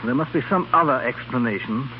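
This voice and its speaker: An actor playing a suave, condescending wealthy cad in a 1930s radio drama. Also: male voice, medium pitch, fairly quick, natural voice. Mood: cool amusement. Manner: smooth politeness, condescending. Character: suave, cool, caddish wealthy man. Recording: muffled, noisy 1930s radio recording.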